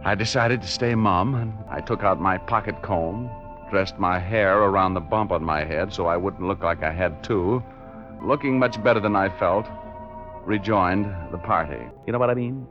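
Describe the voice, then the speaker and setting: An actor playing a world-weary private detective, wry and deadpan, in 1940s radio style. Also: male voice, low voice, normal speed, lo-fi sound, natural voice. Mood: bemused, dry. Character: world-weary hard-boiled male private detective narrating. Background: music.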